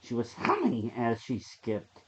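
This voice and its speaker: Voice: mid-range voice, wildly swooping pitch, measured pace, highs cut off. A narrator reading a scripted horror short story aloud.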